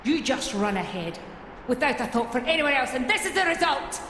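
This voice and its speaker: A woman's voice: high voice, animated, fairly quick. An actress voicing a vengeful, grieving military noblewoman in a game, scornful and accusing, in an echoey, noisy recording. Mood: angry, accusing.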